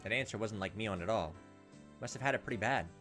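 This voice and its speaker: Low-voiced man narrating a visual novel. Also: quick pace, a bit bright, soft background music. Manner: informal, reacts to the story as he reads. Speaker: let's player reading a visual novel aloud. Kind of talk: casual gameplay narration with asides.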